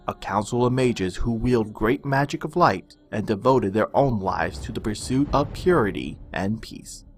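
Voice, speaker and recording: A man giving a dramatic, measured narration of a fantasy storybook tale. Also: mid-range voice.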